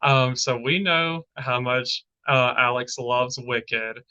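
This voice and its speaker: Bright-voiced man hosting a casual podcast. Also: mid-range voice, slow pace, clear, resonant tone. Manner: rambling, heavy filler "like". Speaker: podcast host. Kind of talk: casual chat. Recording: dull lo-fi audio.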